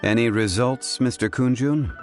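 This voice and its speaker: An actor playing a composed, learned gentleman in a video game, speaking in a measured, formal and authoritative way. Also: mid-range voice, soft background music, natural voice. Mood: inquiring, decisive. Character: composed, erudite, dignified gentleman adventurer. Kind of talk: video game character acting.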